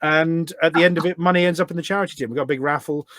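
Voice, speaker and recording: A man's voice: fairly high pitch, fairly even intonation, very fast, fairly bright tone, no echo, clean studio sound. A British man chatting casually and telling stories as a podcast guest, enthusiastic and emphatic, often repeating his phrases. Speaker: podcast guest, charity event organiser. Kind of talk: casual conversational storytelling.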